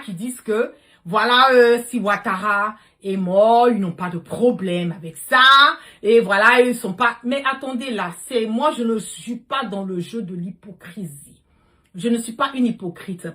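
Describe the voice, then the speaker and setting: A woman's voice: medium pitch, a bit bright. A woman delivering an impassioned political livestream rant, emphatic, repetitive and confrontational. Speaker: livestream host.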